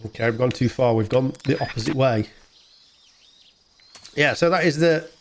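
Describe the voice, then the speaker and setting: A man's voice: medium pitch, normal speed, slight background noise. A man hosting a gaming channel, giving casual gameplay commentary in an informal, rambling way. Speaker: gaming YouTuber, host.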